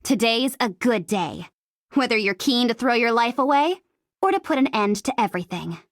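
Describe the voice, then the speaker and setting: A woman's voice: fairly high pitch, animated, moderate pace, no echo, clean studio sound. A woman voicing a world-weary, coolly commanding fighter in a video game. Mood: cool, wry. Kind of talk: video game character voice acting.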